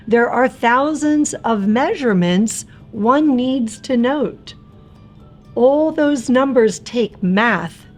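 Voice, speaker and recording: A woman narrating a rhyming picture book for young children in an expressive, theatrical and emphatic way. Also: medium pitch.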